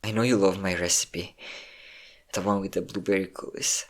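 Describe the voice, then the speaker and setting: A low-pitched young adult played by a performer as a depressive best friend in an audio roleplay. The delivery is halting and confiding, full of insecurity and emotion, as if speaking privately to someone deeply trusted. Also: fairly even intonation. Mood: soft, affectionate. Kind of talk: intimate roleplay audio drama monologue.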